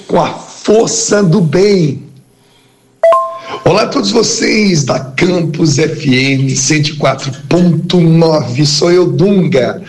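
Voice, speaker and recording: A man's voice: fairly high pitch, vocal fry, darkish tone. A man giving an upbeat, announcer-like greeting to the listeners of a radio station. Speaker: radio guest presenter.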